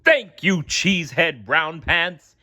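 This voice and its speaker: A woman's voice: deep voice, animated, slow, bright, forceful tone, voice pushed up, heavily acted. A woman voicing a grand, grateful city mayor in a children's story, formal and declamatory. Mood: grandly grateful.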